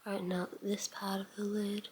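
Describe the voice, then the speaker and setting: A woman's voice: medium pitch, level tone, normal speed, darkish tone, a little hiss or hum. A woman giving a casual makeup tutorial as a beauty vlogger, musing aloud as she works and letting sentences trail off.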